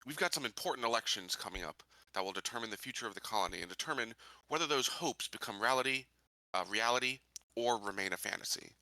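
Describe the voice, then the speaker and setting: A man playing a resolute sheriff candidate, giving an earnest, measured public statement in a tabletop game. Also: mid-range voice, lively intonation, bright, forceful tone, dry recording, low-quality recording. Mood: earnest, determined. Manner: earnest, measured political speech.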